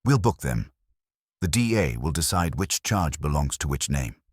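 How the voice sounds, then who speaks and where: Man as gruff, very deep-voiced police official. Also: very animated, moderate pace, no room sound, studio quality, exaggerated voice. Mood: grudging.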